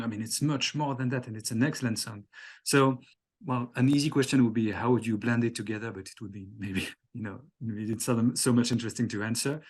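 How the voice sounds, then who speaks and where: Hesitant French-speaking man interviewing. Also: medium pitch. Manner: halting and hesitant, frequent "um" and self-corrections. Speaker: fan-club interviewer. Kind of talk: interview questions.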